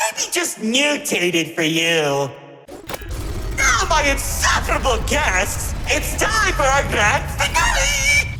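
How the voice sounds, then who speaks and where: An actor playing a theatrical, unhinged masked showman villain in a video game, with a melodramatic and mocking delivery. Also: high-pitched. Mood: sarcastic outrage. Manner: hammy, mocking, swinging between grief and sarcasm. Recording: echoey hall reverb with music behind.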